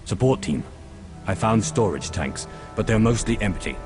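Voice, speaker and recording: A man acts out a dark lord of a sci-fi empire in a game cutscene. The voice is very low, composed and solemn, giving orders with authority. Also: lively intonation, moderate pace, music in the background, natural voice. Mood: businesslike. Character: commanding male Sith lord of an evil empire, composed and solemn. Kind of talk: video game cutscene acting.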